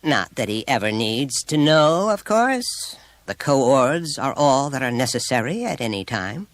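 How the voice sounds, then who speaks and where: A man's voice: mid-range voice, huge pitch swings, measured pace, sharp tone, no echo, voice pushed up, exaggerated voice. A man voicing a proud, formidable, well-bred mother with a haughty, clipped and indignant manner.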